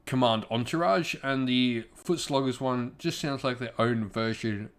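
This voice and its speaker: A man's voice: medium pitch, unhurried. A man hosting a gaming channel, talking informally and conversationally as he shares opinions and addresses his viewers directly. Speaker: YouTube gaming channel host. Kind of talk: casual opinion commentary to viewers.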